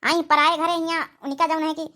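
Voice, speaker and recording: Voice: high voice, flat delivery, moderate pace, bright, forceful tone. A performer voicing a bossy, scolding older village mother-in-law in an Awadhi comedy cartoon, domineering and sarcastic. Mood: indignant. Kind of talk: rustic comedy cartoon dialogue.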